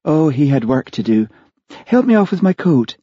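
Audiobook role: haughty temptress, acted by a man, raised pitch, breathy, slightly husky, theatrical delivery. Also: big pitch swings, moderate pace, dark tone, dry recording, muffled recording. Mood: teasing. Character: haughty seductive woman, scornful of local food.

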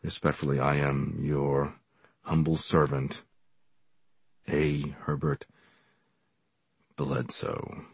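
A man voicing a formal, pompous male college head, reading out a letter in a measured, solemn and deliberate way in an audiobook. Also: rumbling low, flat delivery, vocal fry, slightly rough, no room sound, full character voice.

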